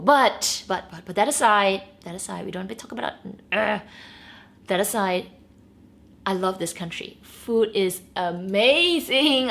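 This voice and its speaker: Female, late 30s, Malaysian accent with a Singaporean edge, bubbly chatty vlogger, mid pitch. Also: fairly bright tone. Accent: Malaysian, with a Singaporean tinge. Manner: bubbly and chatty, with frequent laughs and squeals. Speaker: beauty and luxury vlogger. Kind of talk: casual Q&A chat to camera.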